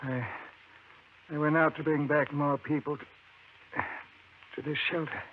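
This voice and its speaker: A man acting a solemn, weakened professor in a fifties radio drama, warning of doom in formal, deliberate phrases. The voice is fairly high for a man, on a thin, crackly recording. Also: flat delivery, narrow, tinny audio, natural voice. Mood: weak, pained.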